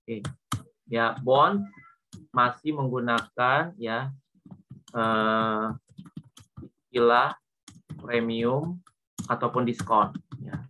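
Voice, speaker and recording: Bright-voiced Indonesian man giving a lecture. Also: medium pitch, very slow, long pauses, a little hiss or hum. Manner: repeats points, frequent confirming tags. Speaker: accounting lecturer. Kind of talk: informal lecture, explaining worked problems.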